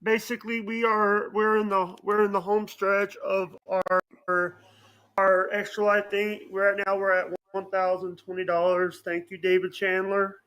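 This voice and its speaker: A man chatting casually as a livestream guest, halting, often repeating phrases and starting over. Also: high-pitched, completely flat delivery, sharp tone, clean tone, dry recording, poor audio. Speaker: podcast guest.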